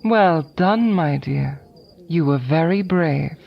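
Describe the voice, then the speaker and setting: Actress as commanding dark noblewoman, gravelly, unhurried; muffled recording, faint music. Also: low-pitched, lively intonation, full character voice. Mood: approving. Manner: slow, measured, condescending. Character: cold commanding noblewoman villain. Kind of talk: audiobook drama acting.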